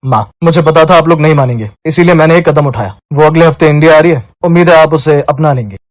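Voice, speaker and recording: Headstrong young Indian man played by a male narrator, defiant, curt, higher-than-average male pitch, cartoon drama. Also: fast, no room sound, close to an everyday voice. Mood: firm. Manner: curt, insistent.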